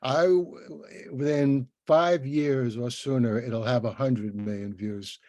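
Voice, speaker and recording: An older man with a soft, mellow voice hosts a conversational interview. He speaks in a halting way, often doubling back on words as he forms a question. The sound is dry and close, with very little room in it. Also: medium pitch, steady tone, dry recording, muffled recording. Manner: hesitant, stammering with repeated words.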